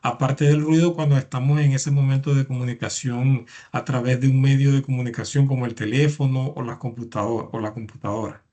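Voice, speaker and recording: A man's voice: medium pitch, flat delivery, a little fast, few pauses, warm tone. A man giving a recorded university lecture, explaining step by step and often stumbling over repeated words and fillers.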